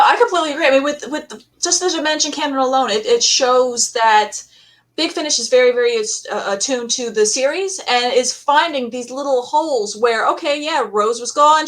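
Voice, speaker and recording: A woman's voice: fairly high pitch, a bit bright. A woman hosting a casual fan panel, talking in a chatty, rambling way. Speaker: panel host.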